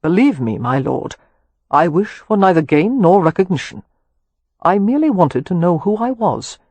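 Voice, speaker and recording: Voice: medium pitch, very animated, normal speed, no echo. A man voicing a casual, wry younger brother who is polite yet defiant, in audiobook dialogue. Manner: soft-spoken, casual, wry.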